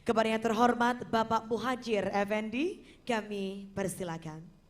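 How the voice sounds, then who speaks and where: A woman's voice: on the high side, fairly even intonation, moderate pace. A woman as master of ceremonies, announcing in a polished, formal way.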